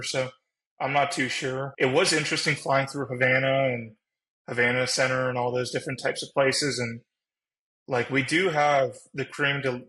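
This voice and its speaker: A man's voice: mid-range voice, sharp tone, poor audio. A man who flies as a pilot, chatting casually as a panelist on a podcast.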